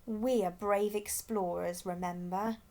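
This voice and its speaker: A woman's voice: medium pitch, fairly even intonation, a little slow, mellow, natural voice. A woman voicing a brave, adventurous female penguin explorer with a bold, encouraging manner in a children's storybook. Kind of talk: children's storybook read-aloud.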